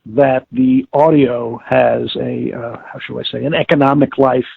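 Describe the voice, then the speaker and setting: An older man being interviewed, recalling past work in a halting, deliberate, wordy way and often correcting himself. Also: mid-range voice, level tone, creaky, breathy edge, dry recording. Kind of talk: reminiscing interview. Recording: tinny narrowband call, like old radio.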